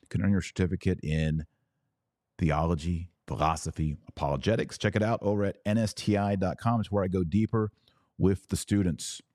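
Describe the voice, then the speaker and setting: A man hosting a religious commentary podcast, reading passages aloud and speaking in deliberate, emphatic phrases with rhetorical questions. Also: low voice, fairly even intonation, darkish tone, no echo, clean studio sound. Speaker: podcast host and author.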